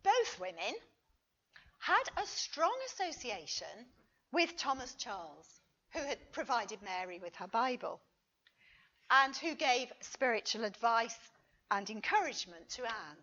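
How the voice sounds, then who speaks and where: Female conference lecturer, unhurried and expressive, reading a prepared paper on a slightly muffled recording. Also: a little high. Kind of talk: scripted academic lecture.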